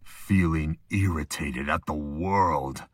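A man with a husky voice reading scripted narration for an audio drama. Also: extremely low pitch, slow pace, dry recording, studio quality.

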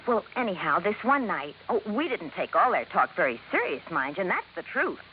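A woman's voice: lowish voice, very animated, a little fast, dull audio. A woman playing a naive, chatty young secretary in a 1950s radio drama, girlish and gushing as she rambles. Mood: earnest, rambling. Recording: thin, hissy 1950s radio recording.

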